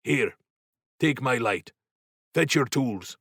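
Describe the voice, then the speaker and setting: A man reading an audiobook, voicing a sly, obsessed workman boss with a sharp, urgent, goading manner. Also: medium pitch, lively intonation, takes it slowly, breathy edge, no room sound, clean studio sound, voice pushed up, full character voice. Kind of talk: audiobook character dialogue.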